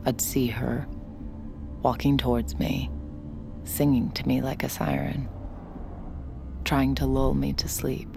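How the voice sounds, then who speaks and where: An actress playing a troubled, weary woman with a husky, gravelly voice, brooding and confessional in an intimate audio drama. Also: rumbling low, level tone, slow, long pauses, over music, heavily acted. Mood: brooding, haunted. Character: troubled, weary, suspicious woman, a relapsed addict.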